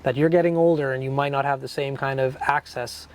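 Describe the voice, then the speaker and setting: A man from Fort McMurray hosting a morning interview show, chatty and upbeat with lots of quick interjections. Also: medium pitch, fairly even intonation, a little mellow. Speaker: host. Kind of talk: casual interview chat. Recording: faint background noise.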